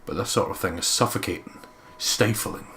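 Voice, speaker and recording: A man's voice: low-pitched, normal speed, bright, forceful tone, a little hiss or hum. A man hosting a let's play, reading visual novel narration aloud and breaking off for joking asides.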